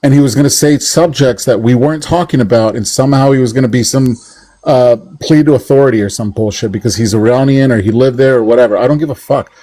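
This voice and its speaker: A man's voice: mid-range voice, quick pace, mellow. A man on a debate panel, arguing his point at length, profane and heated. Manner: argumentative and profane, rants at length.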